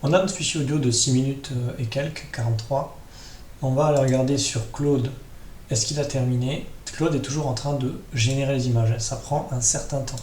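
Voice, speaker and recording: A man's voice: mid-range voice, steady tone, normal speed, darkish tone. A man giving an informal step-by-step screen-share tutorial, talking directly to his viewers. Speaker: tutorial host. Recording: roomy echo with clear background noise.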